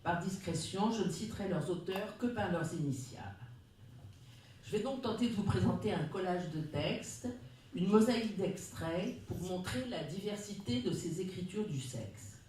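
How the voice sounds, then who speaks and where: Round-table speaker, measured formal reading, far from the mic, booming room. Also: expressive, a little mellow, slight background noise. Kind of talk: reading a prepared talk. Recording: very echoey room, distant mic.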